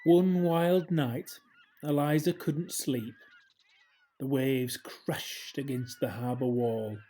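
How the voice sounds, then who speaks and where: A man narrates a children's picture book at a slow, unhurried pace. His voice is mellow and medium-pitched. He reads gently and expressively, sinking into a hush for dramatic effect. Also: fairly even intonation. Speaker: storybook reader. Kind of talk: scripted children's story narration, read aloud.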